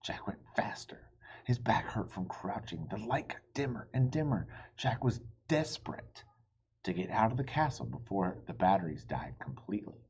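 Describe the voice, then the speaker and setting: A husky-voiced man narrates a children's adventure story. His delivery is unhurried, very animated and full of suspense, on a slightly dull recording. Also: lowish voice, whispered. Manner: dramatic, suspenseful. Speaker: storybook reader. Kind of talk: expressive children's read-aloud narration.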